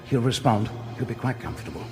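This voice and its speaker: An actor playing a sombre, soothing doctor in a radio drama, speaking in a grave, measured, formal way. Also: male voice, medium pitch, animated, darkish tone, soft background music, close to an everyday voice. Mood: soothing, regretful.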